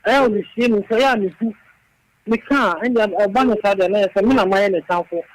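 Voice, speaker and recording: A man's voice: high voice, brisk, low-quality recording. A man with a Ghanaian accent calling a radio show, earnestly telling a story. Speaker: caller. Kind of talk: radio phone-in call.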